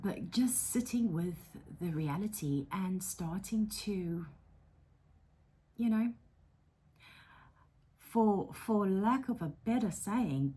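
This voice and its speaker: A middle-aged South African woman hosting a casual vlog, chatty and self-deprecating, dropping into soft whispery asides. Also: lowish voice, husky. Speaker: psychotherapist and channel host. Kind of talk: casual talking-to-camera vlog. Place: her counselling room.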